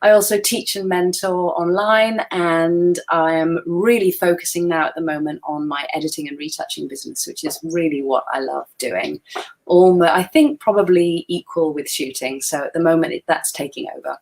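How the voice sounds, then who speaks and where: A woman talks casually on a video call, friendly and quick to poke fun at herself. Her voice sits on the low side and carries a slight tiredness. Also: fairly even intonation, darkish tone, poor audio. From Wales. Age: 40s. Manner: friendly, self-deprecating, laughs easily. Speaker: guest photographer. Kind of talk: casual webinar chat.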